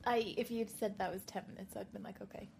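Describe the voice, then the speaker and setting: A woman with an Irish accent chatting casually as a podcast guest, relaxed and quick to laugh. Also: medium pitch, vocal fry. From Ireland. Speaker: guest, bride-to-be. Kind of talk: casual chat.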